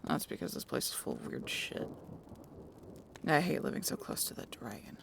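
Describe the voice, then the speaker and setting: A woman plays a cynical, guarded female harpy in a fantasy audio roleplay. Her voice is heavily fried and gravelly, with pitch that moves around a lot. She sounds curt and sardonic, as if everything is a grudging chore. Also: wildly swooping pitch, moderate pace, noisy background, heavily acted. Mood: bitter.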